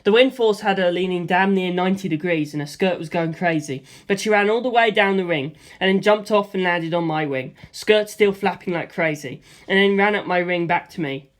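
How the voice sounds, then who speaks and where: Steady first-person story narrator. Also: mid-range voice, fairly quick, few pauses. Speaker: audiobook reader.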